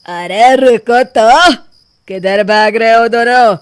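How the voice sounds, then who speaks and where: Commanding police officer, voiced by a woman, fairly high. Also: slow, clean tone, no room sound, clean studio sound. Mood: stern. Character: stern, authoritative police officer.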